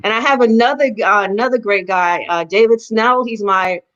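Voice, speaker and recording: A woman's voice: on the high side, fairly bright tone, lo-fi sound. A woman with a Boston accent, a real estate agent guest talking enthusiastically about her plans. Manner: enthusiastic, talkative, spells things out slowly. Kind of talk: casual interview chat.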